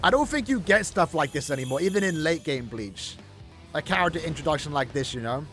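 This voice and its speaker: A man's voice: on the high side, expressive, fairly quick, a bit bright, soft background music. A man giving casual, chatty and enthusiastic commentary on gameplay as a gaming YouTuber. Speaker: gaming YouTuber reviewing a mobile game's cutscenes.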